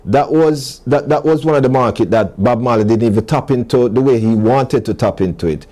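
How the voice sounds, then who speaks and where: Mellow-voiced man hosting an interview. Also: mid-range voice. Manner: halting questions with many restarts. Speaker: interview host. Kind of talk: casual interview.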